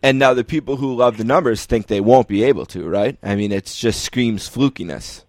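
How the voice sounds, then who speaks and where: A man's voice: mid-range voice, normal speed, no room sound. An American man, middle-aged, hosting a sports podcast with casual, conversational interviewing.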